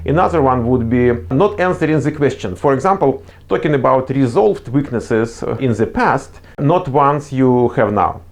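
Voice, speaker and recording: A man's voice: mid-range voice, animated, moderate pace, few pauses. A man with a non-native accent gives earnest, explanatory job interview advice, with frequent fillers and restarts. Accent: non-native English. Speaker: career advice presenter. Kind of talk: instructional talk to camera.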